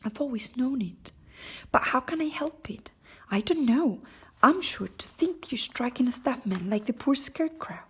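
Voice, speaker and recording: A woman's voice: sits high, expressive, moderate pace, a bit bright, husky, pitched above the performer's own voice, full character voice. A woman voicing a big cowardly male lion from a storybook, sad, ashamed and plaintive as he confesses. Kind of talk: storybook character voice.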